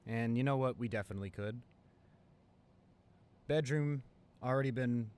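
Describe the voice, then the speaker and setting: A man playing an excited young college boyfriend in an improvised ASMR roleplay, gushing and halting, repeating himself in sentimental amazement. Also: fairly low pitch, lightly acted.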